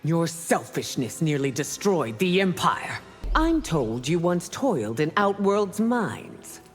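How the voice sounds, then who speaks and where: A woman's voice: lowish voice, big pitch swings, slow. A woman playing a righteous, scornful empress in a video game, with a stately, condemning delivery. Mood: cold scorn. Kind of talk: video game fantasy acting. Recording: long hall reverb, music behind.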